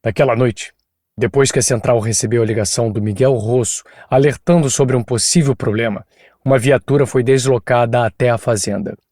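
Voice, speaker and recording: A man voicing an insistent police chief who questions a witness in a firm, measured way. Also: mid-range voice, steady tone, a little mellow, no room sound, studio quality, natural voice. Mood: probing.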